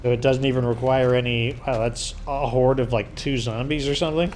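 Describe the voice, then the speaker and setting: A man with a hoarse, worn voice talks through his game in loose, rambling commentary full of fillers. His pitch sits in a middle range, and clear game noise runs behind him. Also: fairly even intonation, brisk, a little mellow. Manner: rambling, filler-heavy explaining. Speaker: let's play host. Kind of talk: casual gameplay commentary.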